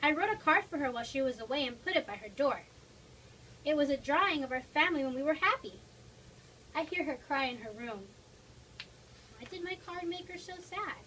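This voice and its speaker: A woman's voice: high-pitched, animated, brisk, long pauses, pushed and bright. A woman voicing a worried, loving young girl in a dramatic storybook reading. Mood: sad, scared. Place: toy shop event, before an audience. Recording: distant mic, noisy room.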